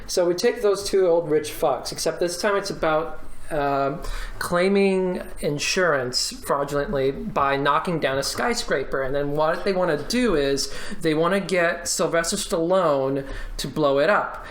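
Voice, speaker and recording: A man's voice: fairly high pitch, measured pace. Casual man in an echoey room. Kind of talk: casual, halting movie pitch among friends.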